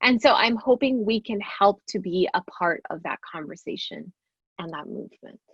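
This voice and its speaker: A woman's voice: medium pitch, animated, normal speed, a bit bright, slightly rough, no echo. A woman whose first language is Telugu speaks her mind as a panelist, frank and emphatic. Her voice sits mid-range and arrives dry. It comes through dull, lo-fi call audio.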